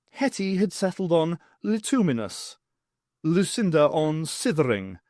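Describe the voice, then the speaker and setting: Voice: medium pitch, darkish tone, no echo, clean studio sound. A narrator reading a prose story as a scripted audiobook.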